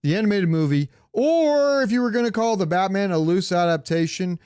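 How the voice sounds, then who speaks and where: A man's voice: high-pitched, animated, a little fast, darkish tone, smooth, no room sound, clean studio sound. A man co-hosting a podcast, chatting casually with informal, opinionated, sweary talk. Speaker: podcast co-host. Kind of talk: casual chat about comic book movies.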